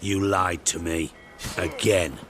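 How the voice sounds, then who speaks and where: An actor playing a shrewd, haughty spymaster in a video game, speaking with theatrical, aggrieved pomp and menace. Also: male voice, low-pitched, steady tone, slight background noise. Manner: pompous, theatrical, deliberate.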